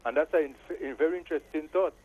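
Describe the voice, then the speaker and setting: A man's voice: a little high, fast talker, phone-quality sound. A man with a Saint Lucian Caribbean accent giving emphatic opinions in casual patois-flavoured talk, often appealing to the listener. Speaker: caller to a live talk show. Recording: noisy call-in line.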